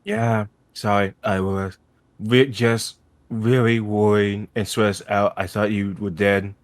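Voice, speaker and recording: A man acts out an awkward young troll pining in a webcomic reading. He speaks slowly and in a flat tone, stumbling over his words as he nervously tries to confess. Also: on the low side, no echo, natural voice. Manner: halting, stammering, swears. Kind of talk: amateur dramatic reading of a webcomic.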